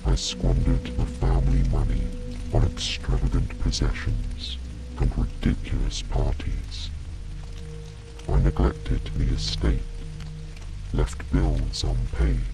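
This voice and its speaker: A man voicing a remorseful, reflective lord of a lonely chateau in a bedtime story, soft and woeful. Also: very deep voice, creaky, heavily acted. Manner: woeful, halting, confessional. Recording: rain and music behind.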